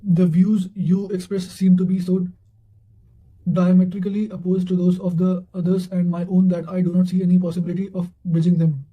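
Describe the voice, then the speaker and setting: A man's voice: sits high, little pitch movement, a little fast, dark tone, low-quality recording. A man reading quotations and study notes aloud in a formal manner, occasionally stumbling over words.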